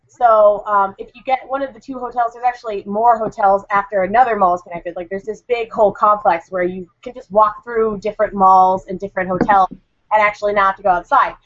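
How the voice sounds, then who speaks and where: A woman's voice: medium pitch, fairly bright tone, dry recording. Woman, recovering cold, chatty, rambling, dry lo-fi recording. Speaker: convention correspondent. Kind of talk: casual podcast review. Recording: dull lo-fi sound.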